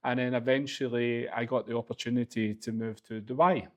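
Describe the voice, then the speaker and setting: Glaswegian man, 40s, even and flat delivery, relaxed personal story to camera. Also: medium pitch, fairly quick. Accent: Glaswegian Scottish. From Glasgow, Scotland. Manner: casual, loose, frequent fillers. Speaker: real estate agency director.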